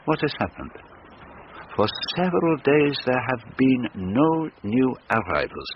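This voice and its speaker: An actor in a radio drama playing a shrewd, courteous detective with a precise, theatrical manner. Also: male voice, medium pitch. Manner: precise, theatrical, self-assured. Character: shrewd, courteous foreign male detective, probing gently. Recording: dull radio recording, faint noise.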